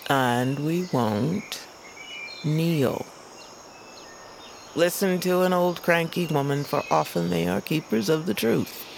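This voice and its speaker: Creaky old mystic grandmother. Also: low-pitched, a little hiss or hum, exaggerated voice. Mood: gently stern. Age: older. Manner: measured, solemn, poetic. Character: old, cranky, wise mystic grandmother, guiding and commanding. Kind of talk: fantasy audio drama acting.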